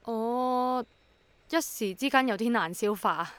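A woman's voice: fairly high pitch, slow, no room sound. An actress with a Hong Kong Cantonese accent playing a practical, sceptical and easily puzzled young city woman in a radio drama. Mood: bewildered. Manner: hesitant, questioning, matter-of-fact.

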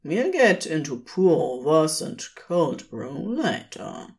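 Woman as sly male storybook character, low-pitched, raspy, sleep-rough, slow drawl, casual, bashful. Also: sing-song, heavily acted. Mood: cocky, reassuring. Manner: casual slangy phrasing, trailing off.

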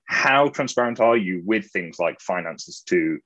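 A man with a very deep, husky voice interviews a guest and fires off follow-up questions. The recording sounds dull and lo-fi. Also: expressive, fairly bright tone. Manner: quick, asks follow-up questions.

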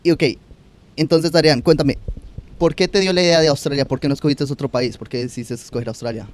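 A young man hosting a podcast, chatty and firing quick follow-up questions in casual interview talk. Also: mid-range voice, steady tone. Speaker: podcast host.

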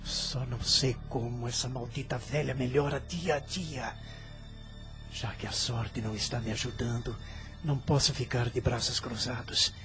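An actor playing a greedy, scheming son in a radio drama, controlled on the surface and bitter underneath. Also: male voice, medium pitch, fairly even intonation, moderate pace, over music, close to an everyday voice. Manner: polite to others, bitter and scheming alone.

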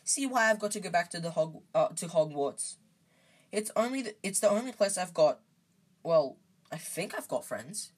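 A woman voicing an earnest, exasperated young boy in a storybook, speaking urgently and haltingly. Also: on the low side, lively intonation, breathy edge. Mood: frustrated. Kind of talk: storybook character voice.

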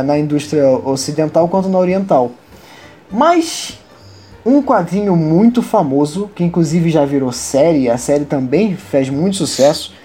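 A man with a Brazilian accent, co-hosting a casual podcast from home and presenting nerd news, excitable and quick to swear and laugh. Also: on the high side. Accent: Brazilian Portuguese. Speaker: podcast co-host.

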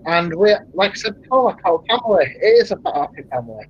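Animated Mancunian man, husky, lo-fi. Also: fast. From England (Manchester). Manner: animated storyteller, laughs often, rising pitch when excited. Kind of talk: casual chat.

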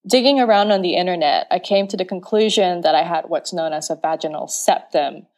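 A woman's voice: fairly low pitch, fairly quick, a little mellow. A young woman from Malaysia, a podcast guest telling her personal story in a frank, playful and articulate way. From Malaysia, Penang.

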